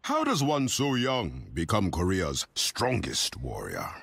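An actor playing a stern, measured warrior with a formal, grave manner. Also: male voice, low-pitched, wildly swooping pitch, vocal fry, a bit bright, slightly rough, no echo, exaggerated voice. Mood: grave amusement.

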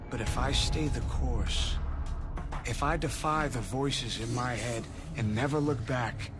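A man plays a haunted, resolute young hitman in a video game monologue. His New voice is lowish and hushed, brooding over each deliberate phrase. Music runs behind him. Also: close to an everyday voice. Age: young adult.

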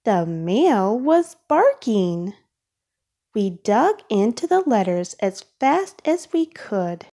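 A woman reading a children's storybook aloud in a careful, expressive way for young listeners. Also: medium pitch, slow. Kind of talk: read-aloud storybook narration.

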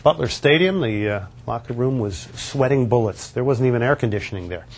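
A man's voice: medium pitch, lively intonation, a little fast. Upbeat man giving a tour. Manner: upbeat, chatty, pointing things out as he walks. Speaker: sports TV host. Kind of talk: walk-through tour presenting. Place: indoor locker room and hallways. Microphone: lapel mic.

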